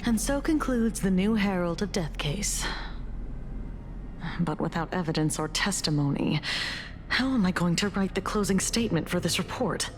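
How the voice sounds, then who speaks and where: A woman's voice: on the low side, lively intonation, normal speed, soft background music, natural voice. An actress playing a pensive female report writer, delivering a reflective scripted monologue in a game. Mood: pensive, subdued.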